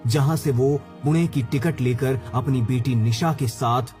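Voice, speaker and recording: A man narrates a scripted horror tale as the storyteller. His pitch sits in the middle range for a man. Music plays beneath his voice. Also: unhurried, warm tone. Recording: music under the voice.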